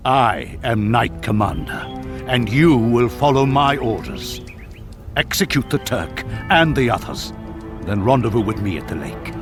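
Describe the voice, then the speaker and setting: An actor playing a haughty, scheming old knight commander in a video game cutscene, pompous and authoritative. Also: male voice, medium pitch, animated, measured pace, music in the background. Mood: cold, commanding. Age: older.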